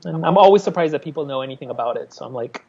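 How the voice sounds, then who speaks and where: Miami guy, artist, chatty and candid, slightly high voice, kinda muffled recording. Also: animated, rapid-fire, creaky, mellow, slightly rough. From Miami, Florida. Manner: chatty, candid, restarts and laughs often. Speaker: artist, guest. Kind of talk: conversational interview.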